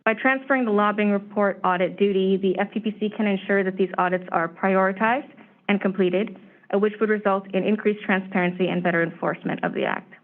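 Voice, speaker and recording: A woman staff presenter giving a formal, measured briefing on proposed legislation, her voice slightly hoarse. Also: medium pitch. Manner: steady, measured, reads prepared remarks. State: slightly hoarse, clearing throat. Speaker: staff presenter at a commission meeting. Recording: tinny phone-line audio.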